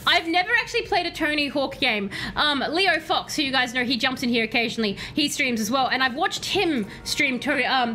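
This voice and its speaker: High, bright-voiced hoarse woman streamer. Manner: chatty, excitable, reads and answers chat. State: slightly hoarse. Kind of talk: live gameplay commentary.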